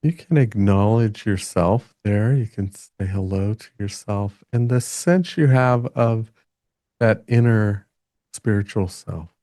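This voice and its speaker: A man gently guides a meditation in a soft-edged, mellow voice on the low side. He speaks very slowly, in short halting phrases with long pauses. The sound is dry and close, free of room echo.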